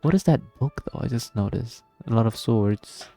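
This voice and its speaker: A man's voice: mid-range voice, normal speed, vocal fry, dark tone. A man giving casual gameplay reaction commentary, gushing and easily awed. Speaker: gameplay video host. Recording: game music behind the voice. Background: game music.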